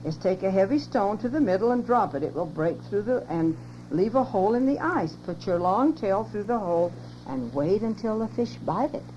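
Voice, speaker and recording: An older woman reading aloud, voicing a sly, smooth-talking old male fox in a storybook, on a lo-fi home cassette tape with hum. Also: medium pitch, expressive, moderate pace, dark tone, close to an everyday voice. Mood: coaxing.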